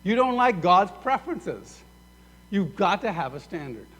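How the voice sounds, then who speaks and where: A man's voice: sits high, a little slow. A man from Sudan preaching a sermon as pastor, acting out both sides of imagined conversations. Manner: animated, acts out both sides of dialogues. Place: church sanctuary. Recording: echoey hall reverb.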